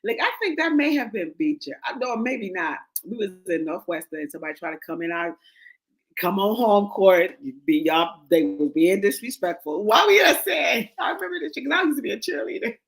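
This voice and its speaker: A woman hosting a podcast, telling stories in a loud, profane, animated way. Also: mid-range voice, fairly quick, rough recording. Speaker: podcast host. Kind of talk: casual chat.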